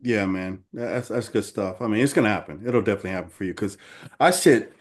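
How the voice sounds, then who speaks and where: A man's voice: on the low side, fast. A man in his forties hosting a casual podcast, laid-back and encouraging, often saying "you know". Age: 40s. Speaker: podcast host.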